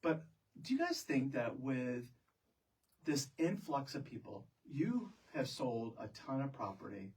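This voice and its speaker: A husky-voiced American man chats as the host of a casual interview. He talks in a joking, chatty manner and puts questions to his guests, picked up a little distance from the microphone. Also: mid-range voice, sing-song, unhurried, a little mellow. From Florida, United States. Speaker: podcast host.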